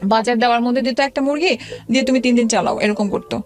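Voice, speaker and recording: Bangladeshi female guest, middle-aged, personal storytelling at a quick clip, with broken-off words and restarts. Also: on the high side, faint music behind. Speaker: interview guest telling own life story. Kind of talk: personal storytelling in a radio interview.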